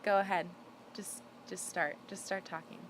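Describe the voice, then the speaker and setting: A young woman in her twenties interviews her father in a playful, upbeat way. Her voice sits a little low for a woman. She is recorded in a backyard, with faint outdoor noise behind her. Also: steady tone, moderate pace. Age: 20s. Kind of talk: casual family interview.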